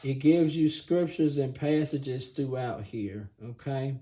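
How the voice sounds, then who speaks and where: Hoarse guy doing a bible review, slow and mellow, keeps clearing his throat. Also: medium pitch. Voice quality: raspy voice, clears throat often. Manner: relaxed, filler-heavy, says "okay?" often. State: hoarse from congestion. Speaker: channel host reviewing a Bible. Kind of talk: casual video review.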